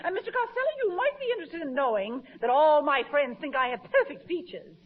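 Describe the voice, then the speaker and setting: High-pitched, haughty radio wife. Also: female voice, big pitch swings, fast talker. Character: haughty, affected, sugary announcer's wife. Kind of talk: 1940s radio comedy acting. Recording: dull old radio recording, audience behind.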